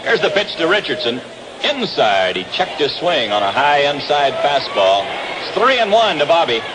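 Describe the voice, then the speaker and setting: A man's voice: a little high, sing-song, moderate pace. An American man calling baseball play-by-play in the style of a 1960s radio sports broadcast. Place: outdoor ballpark broadcast. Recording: noisy vintage 1962 radio broadcast.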